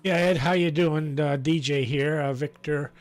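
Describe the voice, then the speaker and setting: A man chatting casually as an amateur radio operator, talking in a halting, chatty way with throat clearing. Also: a little high, steady tone, slight background noise. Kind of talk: casual ham radio chat.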